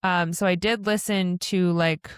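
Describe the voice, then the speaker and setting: A woman with a low voice co-hosting a podcast in casual chat, thinking aloud with fillers. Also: fairly even intonation, unhurried, smooth, no room sound, studio quality. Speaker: podcast co-host.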